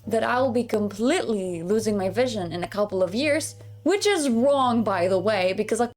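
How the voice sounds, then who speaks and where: A woman's voice: medium pitch, animated, normal speed, darkish tone. A woman narrating her own experiences as personal storytelling for an online video. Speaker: online content creator telling own story.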